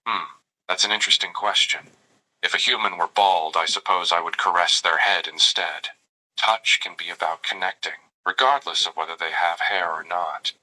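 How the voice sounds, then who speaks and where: Brief: synthetic male voice for a flirty AI companion; deep, very bright timbre, gentle and formal, narrating his own actions. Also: steady tone, normal speed, rough recording. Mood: tender. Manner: narrates his own gestures in the first person, calls the listener darling. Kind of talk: chatbot roleplay reply.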